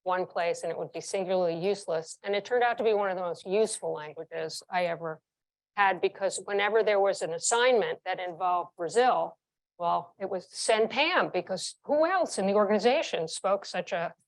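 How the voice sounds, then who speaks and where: A woman's voice: medium pitch, moderate pace, low-quality recording. A woman in her sixties from New York, a former chief executive telling frank, vivid stories from her career in an interview. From New York, United States. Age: 60s. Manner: frank, vivid anecdotes with emphatic repetition. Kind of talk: candid interview storytelling.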